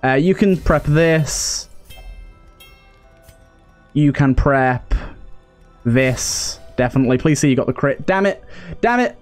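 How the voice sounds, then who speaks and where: A man's voice: mid-range voice, expressive, takes it slowly, pauses often, soft background music. A man giving casual commentary as he plays a game, thinking aloud in an understated way. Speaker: gaming video host.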